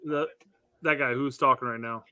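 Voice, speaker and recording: A man co-hosting a casual live match watch-along, tossing in quick joking asides. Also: mid-range voice, steady tone, bright, forceful tone, clean tone.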